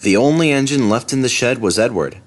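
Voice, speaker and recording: A man's voice: medium pitch, lively intonation, a little fast. A man narrating a children's story as a storybook reader.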